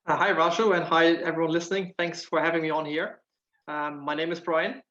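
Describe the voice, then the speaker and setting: A man's voice: on the high side, little pitch movement, a little fast, pushed and bright, lo-fi sound. A man from Germany with a German accent tinged with Irish, speaking earnestly as an interview guest and often repeating words while he searches for them. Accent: German with some Irish. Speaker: guest, nutritional therapist. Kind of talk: casual interview answers.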